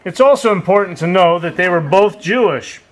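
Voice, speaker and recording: High-voiced male puppet show narrator. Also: animated, moderate pace. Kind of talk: storytelling narration.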